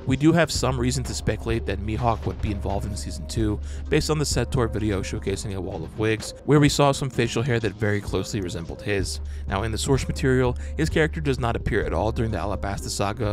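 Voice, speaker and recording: A man narrating a scripted breakdown as a host, in a measured, explanatory manner. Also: on the low side. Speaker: host of a fan breakdown channel. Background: music.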